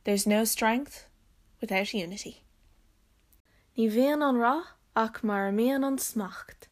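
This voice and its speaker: A woman storyteller with an Irish accent reading short scripted sayings in deliberate, measured phrases. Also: fairly high pitch.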